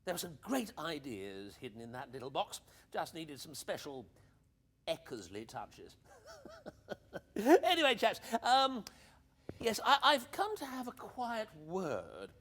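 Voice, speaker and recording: A man's voice: a little high, huge pitch swings, measured pace, fairly bright tone, full character voice. An actor playing a breezy, cheeky upper-class English ex-army captain in a radio play, with hearty old-boy banter. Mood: breezy, persuasive.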